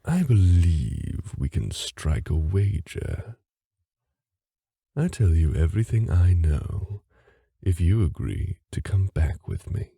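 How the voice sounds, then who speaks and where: A man's voice: low voice, sing-song, slow, creaky, dark tone, breathy edge, dry recording, studio quality, exaggerated voice. An actor playing a haughty, manipulative fae father with a cold, formal, condescending way of talking in a fantasy audio drama. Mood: cold menace. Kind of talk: fantasy audio roleplay acting.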